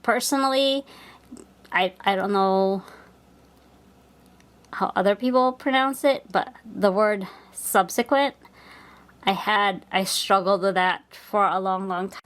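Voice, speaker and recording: Filipino woman in her 40s, slow and chatty, hobby vlogger muttering while she stitches. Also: mid-range voice, fairly bright tone. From Philippines. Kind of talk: casual stitch-along chat.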